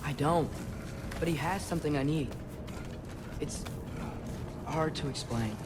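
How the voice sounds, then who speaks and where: A young actor playing a restless, earnest teenage son in a video game, casual and a little hesitant. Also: male voice, on the high side, measured pace, very noisy, natural voice. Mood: guarded. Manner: casual, youthful, hesitant phrasing. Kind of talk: cinematic video game acting.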